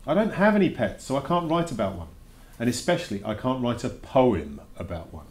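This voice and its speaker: A man's voice: mid-range voice, very animated, a little fast, natural voice. A man voicing a reluctant schoolboy who puts emphatic, grudging questions to his teacher in a children's storybook read-aloud. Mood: grumbling, questioning. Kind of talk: dramatic read-aloud of a children's verse novel.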